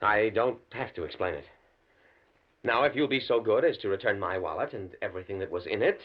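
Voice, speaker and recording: Nervous, stammering professor, animated pitch. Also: male voice, fairly quick. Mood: indignant. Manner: hesitant, stammering, earnest. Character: nervous, principled male college professor being blackmailed. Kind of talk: 1940s radio thriller acting. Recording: dull, lo-fi old radio recording.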